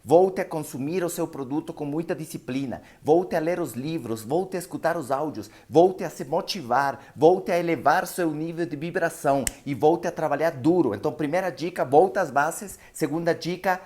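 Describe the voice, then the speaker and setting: A man's voice: fairly high pitch, moderate pace, hardly any pauses, darkish tone. A man whose first language is Spanish, with a Spanish-speaking accent, giving a live motivational seminar talk as an emphatic storyteller who keeps checking in with his listeners.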